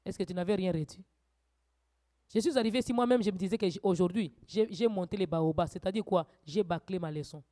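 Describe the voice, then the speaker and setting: A woman's voice: fairly low pitch, a little fast. Woman preacher exhorting a congregation. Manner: preaching with rising, shouted exhortations and quieter asides. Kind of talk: sermon teaching. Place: church.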